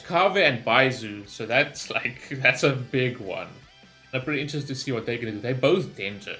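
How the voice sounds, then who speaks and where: A man's voice: medium pitch, sharp tone. A man hosting a gaming reaction video, talking informally and thinking aloud as he rambles through his commentary. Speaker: gaming reaction channel host.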